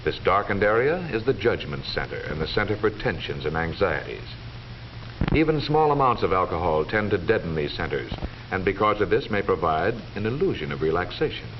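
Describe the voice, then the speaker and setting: An American man narrating a 1940s educational film in a formal, measured, authoritative and precise manner, on an old film soundtrack. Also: deep voice, big pitch swings, dull audio, a little hiss or hum.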